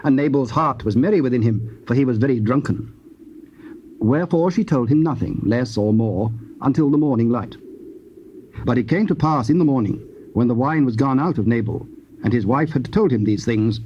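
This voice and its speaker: A man narrating dramatized scripture in a formal, measured storytelling style. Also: mid-range voice, a little mellow. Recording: dull, hissy old cassette tape.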